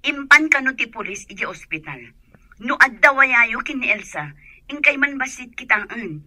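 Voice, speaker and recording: An actress playing a brisk, bossy madam in an Ilocano radio drama, speaking with an Ilocano accent. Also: female voice, high voice, very animated, pushed and bright, no room sound, full character voice. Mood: urgent, instructing.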